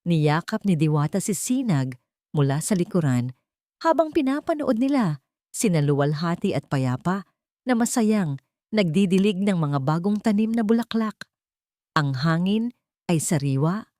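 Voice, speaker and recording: A woman's voice: on the low side, animated, a little slow, long pauses, dark tone, dry recording, clean studio sound. A woman narrating a scripted romantic story in a dramatic, sentimental way.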